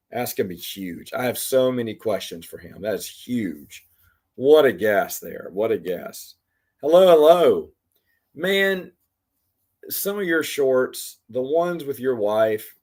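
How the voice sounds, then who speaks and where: Host, male, 40s, voice going hoarse, rambling and chatty, mid-pitched. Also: darkish tone. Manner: rambling, chatty, talks to commenters by name. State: losing his voice. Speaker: livestream host. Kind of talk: casual live Q&A chat.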